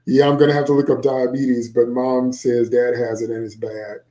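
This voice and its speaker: A man's voice: mid-range voice, little pitch movement, moderate pace, warm tone, reverberant, natural voice. A man voicing a smart-mouthed, geeky sixth-grade boy from a children's novel, chatting wryly through his rambling thoughts. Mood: musing, geeky. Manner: chatty, wry, rambling inner monologue. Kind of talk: first-person children's novel read aloud.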